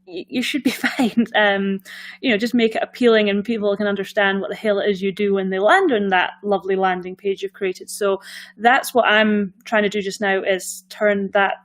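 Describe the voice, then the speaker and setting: A woman's voice: medium pitch, fairly even intonation, moderate pace. A woman with a Scottish accent, hosting a casual interview and chatting freely with many fillers. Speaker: podcast host.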